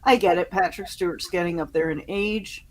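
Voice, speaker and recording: A woman chatting casually as a podcast panelist, giving fan opinions in a halting, conversational way. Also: lowish voice, steady tone.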